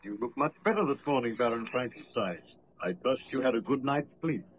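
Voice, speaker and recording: A man playing a courteous, inquisitive ship's captain, measured and formal, in a 1930s radio play. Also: medium pitch, animated, highs cut off, close to an everyday voice. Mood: calm, courteous. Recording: noisy vintage 1930s radio broadcast.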